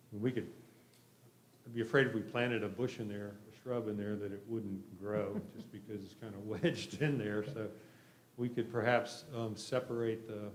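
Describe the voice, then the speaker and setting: A man speaks in a low, fairly even voice, explaining plans informally and tentatively. The sound is roomy and echoey, with faint noise behind him. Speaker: project applicant presenting a development plan. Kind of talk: informal explanation at a public meeting.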